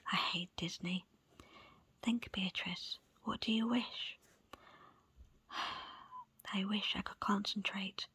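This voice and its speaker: A woman reading a book in a breathy ASMR whisper, with soft, unhurried, deliberate phrasing. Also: mid-range voice, steady tone, dry recording. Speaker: book presenter and reader.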